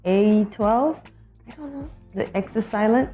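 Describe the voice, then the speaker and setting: A woman's voice: a little high, a little slow, dark tone. Woman, morning show co-host, playful and competitive, casual studio banter, faint music behind.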